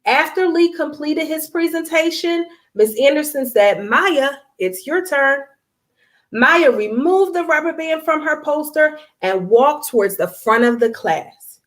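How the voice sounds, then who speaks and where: A woman's voice: high-pitched, lively intonation, unhurried. A woman reading a children's storybook aloud expressively, speaking directly to her young listeners.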